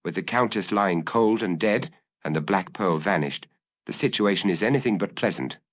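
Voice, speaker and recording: A man voicing a cocky but rattled gentleman thief who talks to himself in a theatrical, self-mocking way in an audiobook. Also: low-pitched, animated, moderate pace. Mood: grim muttering.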